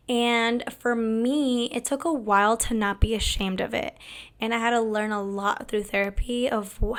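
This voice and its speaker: A young woman hosting her own video talk show, chatty and candid, her voice hoarse as it comes back after losing it. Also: a little high, a bit bright. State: hoarse, recovering lost voice. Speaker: host. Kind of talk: casual talk to camera.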